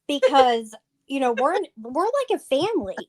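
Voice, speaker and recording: A woman's voice: sits high, sing-song, normal speed, darkish tone. A woman guest in a casual livestream chat, talking in an earnest, rambling way full of fillers.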